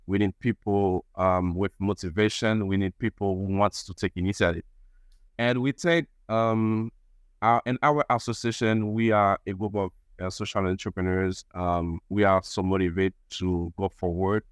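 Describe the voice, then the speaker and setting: A man whose first language is French, answering interview questions in halting English as he searches for words. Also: deep voice, fairly even intonation, clear, resonant tone, dry recording. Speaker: guest, association vice president.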